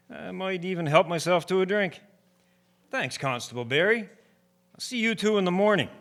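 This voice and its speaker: Radio drama role: genial older ex-fireman, folksy, lively pitch male actor. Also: measured pace, full character voice. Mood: genial, concerned. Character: retired deputy fire chief, older man, genial, restless.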